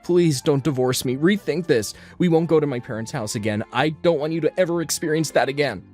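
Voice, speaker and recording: A man's voice: fairly high pitch, fairly quick, darkish tone, lightly acted. A man voicing an earnest, protective young husband in a dubbed comic, speaking with emotion. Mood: apologetic, pleading. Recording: music behind the voice.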